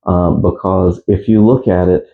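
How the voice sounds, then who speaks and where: A man giving a casual, unscripted gear review as a radio hobbyist, speaking in a halting, matter-of-fact way. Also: low voice, steady tone, creaky, narrow, tinny audio. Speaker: amateur radio hobbyist presenter.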